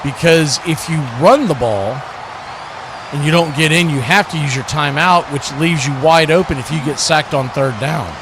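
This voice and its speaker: Male sports commentator, pitched a bit high, conversational live football calls and analysis, recorded over noticeable ambient noise. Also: normal speed. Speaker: play-by-play commentator hosting a watch party stream.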